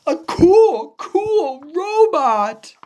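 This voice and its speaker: A woman voicing a big, emotional, needy male elephant from a children's picture book, with dramatic, drawn-out delivery. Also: very high-pitched, very animated, mellow, pitched above the performer's own voice, full character voice. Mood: dramatic, regretful.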